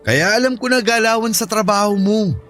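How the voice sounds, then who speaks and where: A man's voice: high-pitched, moderate pace, a bit bright, faint music behind. A man playing a caring, easygoing Filipino shipmate and buddy in an audio drama, encouraging in manner. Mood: generous, easygoing.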